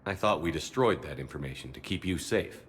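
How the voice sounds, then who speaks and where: A man playing a steady, reassuring military commander in a video game, calm and measured. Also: low voice, a little hiss or hum. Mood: businesslike.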